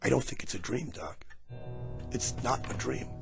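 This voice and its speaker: An actor playing a brash, fast-talking man who claims to be going back in time, with a pushy, street-smart manner in a radio drama. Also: medium pitch, creaky, lightly acted. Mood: insistent. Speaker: lead character. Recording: radio play with music.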